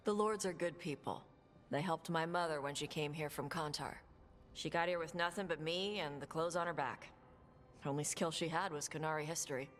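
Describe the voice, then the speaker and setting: A woman playing a blunt, laconic young dragon hunter and treasure seeker in a video game, talking gruffly and informally. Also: deep voice, moderate pace, close to an everyday voice. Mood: earnest, plain. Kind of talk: video game dialogue acting. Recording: music under the dialogue. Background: game music.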